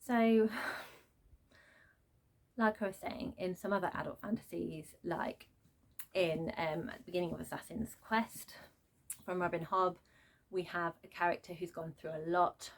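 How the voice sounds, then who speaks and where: A woman giving a casual, unscripted book rant in an exasperated, sarcastic and emphatic manner, with a slightly low voice. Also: fairly even intonation, a little slow, pauses often, low-quality recording. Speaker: book reviewer.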